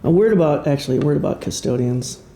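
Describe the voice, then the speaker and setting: A man giving an informal talk from notes as an author, speaking in a halting, hesitant, self-deprecating way. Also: fairly high pitch, animated, warm tone. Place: room at a public presentation. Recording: clear background noise, room ambience.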